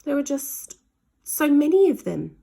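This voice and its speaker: A woman's voice: high-pitched, sing-song, unhurried, vocal fry, dark tone, pitched above the performer's own voice, heavily acted. Woman as a plucky, urgent young boy. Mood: resigned. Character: Ned, a quick-thinking, plucky young boy.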